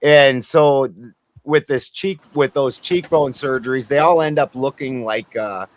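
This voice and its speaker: A man in casual banter on a panel show, speaking at an ordinary middle pitch. He rambles and restarts, with crude jokes. The audio comes through a remote call. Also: unhurried. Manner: rambling, crude, self-mocking. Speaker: co-host on a livestream panel.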